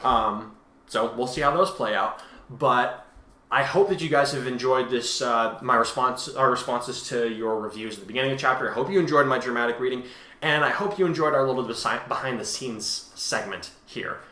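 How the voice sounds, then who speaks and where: A man's voice: mid-range voice, brisk, pushed and bright. A man hosting a casual discussion of his own writing, rambling and often correcting himself as he goes. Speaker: host, fan fiction author and reader. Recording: echoey room.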